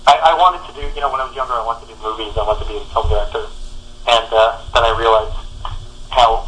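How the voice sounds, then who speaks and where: A man's voice: mid-range voice, steady tone, fast, sharp tone, slight background noise, rough recording. A man, a comic book artist, answering interview questions reflectively, searching for his words.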